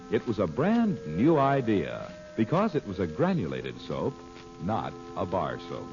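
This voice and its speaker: A man announcing an old radio serial, with a lowish voice that rises and falls dramatically. His delivery is formal and emphatic, over music on a dull vintage broadcast.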